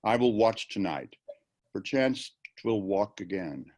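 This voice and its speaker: A brooding, grieving young prince, acted by an amateur male reader, with a lowish voice and a very unhurried pace. The verse is deliberate and theatrical, in the manner of a Shakespeare stage reading. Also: expressive, darkish tone. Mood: resolute, grave. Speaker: amateur volunteer actor. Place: indoors, on a video call. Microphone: video call.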